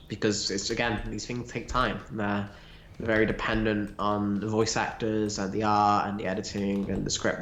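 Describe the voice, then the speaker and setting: A man co-hosting a casual livestream, tossing in jokes and quick asides. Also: lowish voice, a bit bright, a little hiss or hum. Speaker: livestream co-host. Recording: echoey room.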